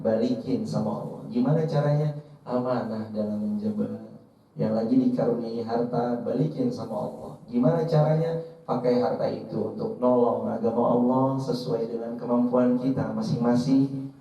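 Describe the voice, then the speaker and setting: Mellow-voiced male preacher in echoey room. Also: mid-range voice, steady tone, normal speed. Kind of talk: sermon to an audience, quoting and translating Arabic scripture. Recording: roomy echo, distant mic, slightly dull.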